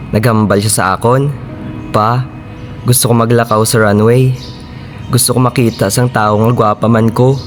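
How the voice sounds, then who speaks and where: A man's voice: lowish voice, close to an everyday voice. A man with a Filipino accent acting a grieving, earnest father who heads a modeling agency in an amateur radio drama.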